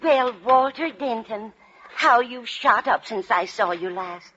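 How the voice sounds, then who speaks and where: A woman's voice: on the high side, animated, unhurried, pushed and bright, breathy edge, heavily acted. An actress playing a vague, chatty, absent-minded older lady in a 1940s radio comedy. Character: scatterbrained, chatty older landlady. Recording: muffled vintage 1940s radio recording.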